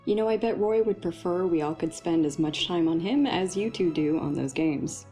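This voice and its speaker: A woman's voice: on the low side, moderate pace, dark tone, soft background music, natural voice. An actress playing a playful, sarcastic female research scientist who teases her colleagues in an audio story. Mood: teasing. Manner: teasing. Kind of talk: audio story character acting.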